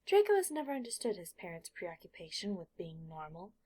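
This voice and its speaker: A woman with a slightly husky voice reading scripted fiction as an audiobook narrator. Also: lowish voice, sing-song, fairly quick, darkish tone.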